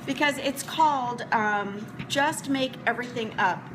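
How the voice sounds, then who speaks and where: A woman's voice: fairly high pitch, a little slow. Rambling woman in her forties, noisy recording. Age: 40s. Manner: rambling, conversational, addressing viewers. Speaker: motivational vlog host and food prep coach. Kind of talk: casual chat to camera.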